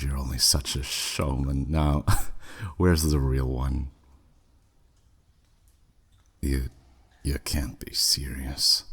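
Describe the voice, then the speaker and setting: An exceptionally deep-voiced demon played by a man speaks slowly, with a husky rasp. He is a haughty, flirty buyer in an ASMR audio drama, drawling with sardonic, dismissive skepticism. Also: a bit bright, full character voice. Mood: amused disbelief. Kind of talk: scripted ASMR audio roleplay.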